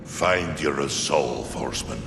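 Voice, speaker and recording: A man playing a gruff old giant smith in a video game. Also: extremely low pitch, unhurried, exaggerated voice. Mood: earnest, grave. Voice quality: gravelly. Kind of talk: dramatic video game cutscene acting. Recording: echoey hall reverb, music behind.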